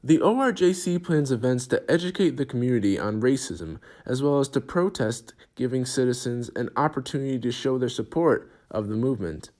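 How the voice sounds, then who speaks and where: A man's voice: mid-range voice, animated, a little fast, hardly any pauses, a little mellow. A man reporting as a student TV news journalist, reading scripted narration.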